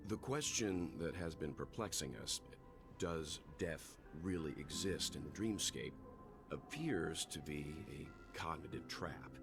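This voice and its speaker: An actor playing a composed, analytical investigator in a video game, speaking in measured, articulate, formal phrases. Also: male voice, very deep voice. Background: music.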